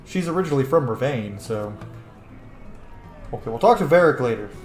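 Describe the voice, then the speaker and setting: A gamer narrates a playthrough casually and playfully. The mid-pitched voice is expressive and swings freely. It sounds roomy, with faint game music behind. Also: brisk. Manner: playful, reacting to characters. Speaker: gamer, channel host.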